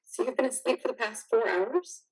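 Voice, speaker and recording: A woman's voice: fairly high pitch, moderate pace, breathy edge. A woman in a reader's theater drama playing a caring, worried wife, soothing and pleading. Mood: concerned.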